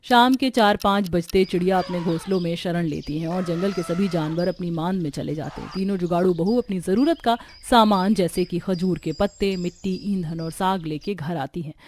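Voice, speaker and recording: A woman's voice: lowish voice, moderate pace, a little mellow. A woman narrating a scripted cartoon story.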